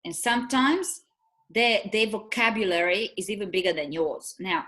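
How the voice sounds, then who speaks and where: A woman's voice: mid-range voice, animated, moderate pace, a bit bright. A middle-aged woman from Romania with a Romanian accent, co-hosting a casual chat and telling stories with frequent laughs. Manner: chatty storyteller, laughs often. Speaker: co-host.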